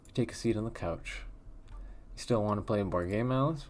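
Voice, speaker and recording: A man reading a text horror game aloud in a hushed, measured way with a wondering, uneasy tone. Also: on the low side. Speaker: let's play host.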